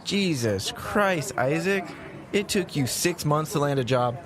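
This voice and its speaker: A man's voice: a little high, animated, a little slow. An actor playing a brash, sarcastic young man who teases his friends in an audio drama. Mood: mocking. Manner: mocking, swearing, teasing. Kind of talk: casual audio drama dialogue. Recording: busy crowd behind dialogue.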